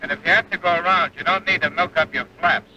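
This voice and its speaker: A man's voice: fairly high pitch, fast, highs cut off, close to an everyday voice. A man playing a seasoned, exacting bomber flight instructor in a 1940s training film, with a clipped, instructional manner. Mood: brisk, firm. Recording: old 1940s film soundtrack, engine noise.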